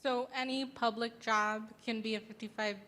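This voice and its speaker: Bright-voiced woman asking questions. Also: a little high, steady tone, moderate pace. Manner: inquisitive, asks follow-ups. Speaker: audience member asking questions.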